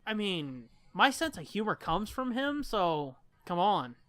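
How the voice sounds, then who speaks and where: Bright, dry-miked man swearing through banter. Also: high voice, very animated, a little slow, no room sound. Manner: irreverent dark humor, swears freely. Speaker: podcast co-host. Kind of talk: casual profane comedic banter.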